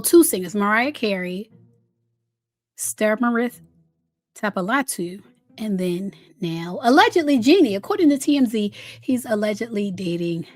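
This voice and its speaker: A woman hosting a casual celebrity gossip commentary, chatty and candid, with drawn-out emphasis and a slightly raspy voice worn from a recent illness. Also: medium pitch, a little mellow, no echo. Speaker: host of a celebrity gossip channel.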